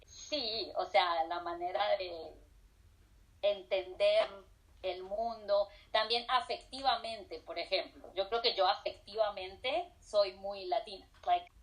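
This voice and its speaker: Slow-talking bilingual Colombian woman. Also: medium pitch, poor audio. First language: Spanish. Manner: switches between Spanish and English mid-sentence; hesitant, many fillers and restarts. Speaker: professor; interviewee. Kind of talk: casual interview answers.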